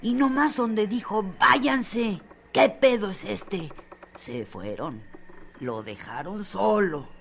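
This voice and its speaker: A woman's voice: medium pitch, expressive, takes it slowly, vocal fry, crowd noise. An actress playing a doting, boastful Mexican woman who tells tales of her man in emphatic, colloquial radio drama style. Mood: admiring, gossipy.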